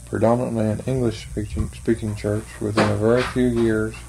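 A woman being interviewed about her church's hymn singing, speaking thoughtfully and hesitantly with many pauses and fillers. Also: extremely low pitch, flat delivery, creaky, darkish tone, rough recording. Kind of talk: oral history interview.

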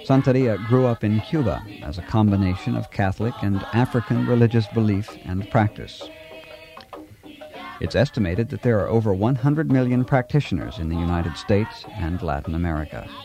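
A man reading scripted documentary narration in a measured, even, informative way. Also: on the low side, over music.

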